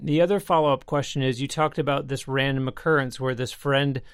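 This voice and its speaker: A man's voice: medium pitch, level tone, smooth, dry recording, clean studio sound. A man hosting an interview, asking long, carefully framed follow-up questions. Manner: measured, long framing questions. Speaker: interviewer, host.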